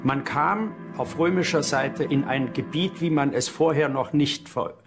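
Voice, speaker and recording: A man's voice: on the high side, measured pace, music in the background. A man speaking unscripted as an expert, explaining in an interview.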